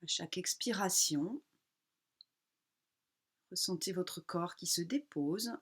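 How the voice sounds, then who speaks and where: A woman teaching yoga nidra, guiding a relaxation meditation calmly in short, unhurried phrases with pauses. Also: lowish voice, breathy edge.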